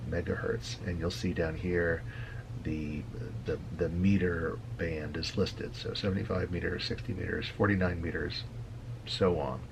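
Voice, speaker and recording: A man giving a casual tutorial as a hobby video host, talking haltingly with frequent hesitant pauses. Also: low voice, gravelly, background noise. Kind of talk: casual screen-share tutorial.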